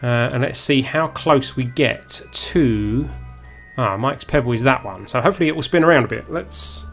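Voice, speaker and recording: A man's voice: medium pitch, lively intonation, normal speed, gravelly, a bit bright, faint music behind. Southern English man doing casual game commentary. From Essex, England. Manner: unscripted, muttering to himself as he plays. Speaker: live streamer, gaming host. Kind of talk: casual live gameplay commentary.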